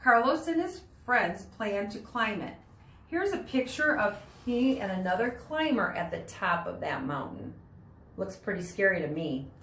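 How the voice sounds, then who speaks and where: A woman's voice: mid-range voice, sing-song, a bit bright. A woman teacher reading a children's nonfiction book aloud to students in an explanatory way. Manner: explanatory, pauses to explain words and pictures. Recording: roomy, distant mic with background noise.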